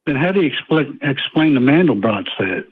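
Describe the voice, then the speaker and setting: A man's voice: medium pitch, big pitch swings, normal speed, breathy edge. Man on a tinny phone line. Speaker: caller to a show. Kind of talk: live phone-in debate. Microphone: telephone.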